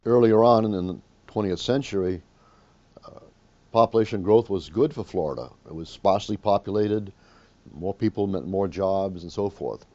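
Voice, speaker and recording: A man, an academic expert, explaining in an interview in a halting, measured way. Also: low voice, darkish tone. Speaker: demographer, university teacher. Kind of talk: interview commentary. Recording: dull, band-limited interview sound.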